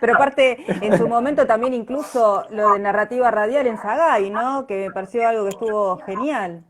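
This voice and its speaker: A woman's voice: on the high side, normal speed. A woman with an Argentine Rioplatense accent, an actress talking casually in a live interview. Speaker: radio drama actress being interviewed.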